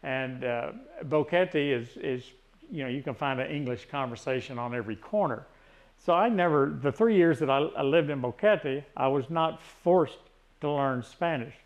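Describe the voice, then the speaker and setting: A man's voice: mid-range voice, moderate pace, dull audio. A man in his sixties with a Tennessee Southern accent, chatting casually and rambling with many restarts. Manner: rambling, frequent restarts and fillers. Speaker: retiree vlogger. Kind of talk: casual chat.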